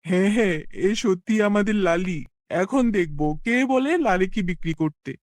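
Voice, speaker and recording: A man's voice: high-pitched, moderate pace, close to an everyday voice. A man voicing a poor, kind farmer who dotes on his cow, in an earnest, exclamatory cartoon fairy-tale style. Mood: excited, proud.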